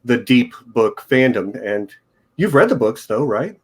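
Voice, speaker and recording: Relaxed joking male host. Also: medium pitch, measured pace, breathy edge. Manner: relaxed, joking, casual rambling. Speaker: podcast host. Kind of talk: informal interview chat.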